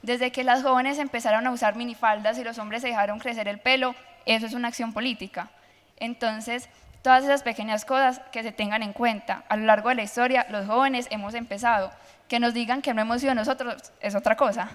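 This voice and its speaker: A woman's voice: fairly high pitch, fairly even intonation, fairly quick, a bit bright. A teenage girl speaking passionately and argumentatively as a panelist at a public forum. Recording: long hall reverb.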